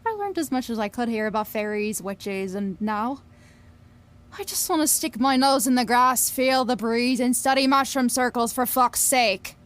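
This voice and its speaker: A woman's voice: on the high side, lively intonation, normal speed, a bit bright. An actress playing a brash, wistful young woman in an audio drama, confiding and wry, with plenty of swearing. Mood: wry, longing. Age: young adult. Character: Billie, brash, wistful young Scottish woman obsessed with fairies. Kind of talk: audio drama narration and dialogue.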